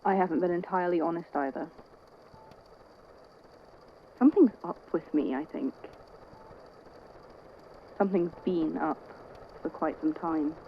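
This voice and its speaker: A woman playing a weary, caring friend in an audio drama, talking in a hesitant, conversational, gently wry way. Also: lowish voice, normal speed, long pauses, muffled recording, a little hiss or hum, close to an everyday voice. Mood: wistful, confessional. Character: worried, weary, caring friend at a hospital bedside. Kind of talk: naturalistic audio drama acting.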